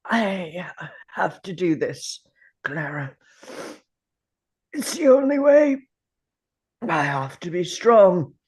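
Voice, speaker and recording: An actor playing a dying, grim and weary alien Time Lord in a dramatic script read, with a halting, pained delivery full of sighs. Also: mid-range voice, big pitch swings, darkish tone, breathy edge, full character voice. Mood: strained, determined.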